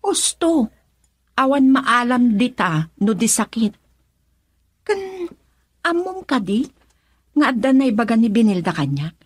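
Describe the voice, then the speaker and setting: Actress as frank, husky woman friend, Ilocano accent. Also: on the high side, very animated, a little slow, long pauses, no room sound, studio quality, full character voice. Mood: reproachful. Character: woman scolding her best friend's wavering boyfriend. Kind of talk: Ilocano radio drama dialogue.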